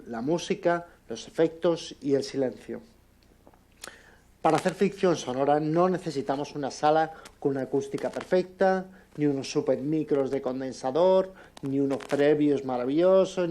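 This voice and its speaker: Mellow Spanish man giving a talk. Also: fairly high pitch. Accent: Spain. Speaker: conference speaker, amateur audio drama producer. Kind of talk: informal public talk.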